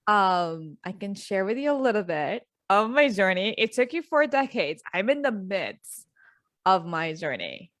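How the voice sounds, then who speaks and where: A woman's voice: mid-range voice, sing-song, a little slow, a bit bright, dry recording. An earnest, middle-aged woman from the Philippines speaks as a guest coach over a video call. She tells her life story openly, restarting phrases as she goes. Her voice is close and dry, with no room sound. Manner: earnest, open, with frequent fillers and restarts. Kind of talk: conversational interview storytelling.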